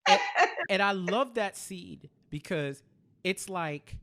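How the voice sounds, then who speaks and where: A man's voice: high voice, lively intonation, takes it slowly, a bit bright. A man co-hosting a casual TV recap, retelling scenes in quoted dialogue and repeating phrases for emphasis. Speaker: podcast host.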